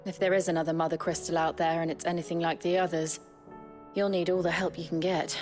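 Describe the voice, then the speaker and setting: Woman voicing a calm loyal fantasy game heroine, lowish voice, faint music under it. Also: quick pace, natural voice. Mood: earnest, determined. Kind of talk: video game cutscene dialogue.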